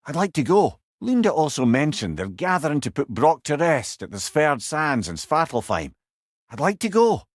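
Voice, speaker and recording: A man playing a wise, witty older companion in a video game, with a Scottish accent and a wry, avuncular, expressive manner. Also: medium pitch, no echo, clean studio sound. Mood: reflective. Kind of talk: video game character dialogue.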